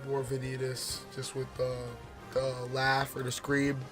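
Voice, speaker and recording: Male gaming vlogger, voice going hoarse, casual rambling commentary, mid-range pitch. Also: monotone, a little slow, over music. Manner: rambling, many fillers and restarts; forcing his voice out. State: hoarse, losing his voice. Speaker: gaming video host.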